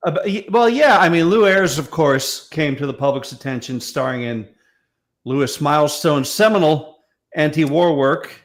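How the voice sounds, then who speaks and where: American guy, slightly high voice, wry guest commentator type, stumbles over words n restarts. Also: lively intonation, moderate pace. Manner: hesitant, stammering, wryly knowledgeable. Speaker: guest commentator on classic film and radio. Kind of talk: casual discussion.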